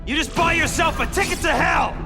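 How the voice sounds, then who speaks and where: A man's voice: extremely high pitch, brisk, big-room sound, music in the background. A man playing a blustering, weaselly crime boss who stammers and tags his sentences with "huh?" in a dramatic game cutscene. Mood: taunting, furious. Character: cornered male crime boss and arms dealer, cocky then pleading.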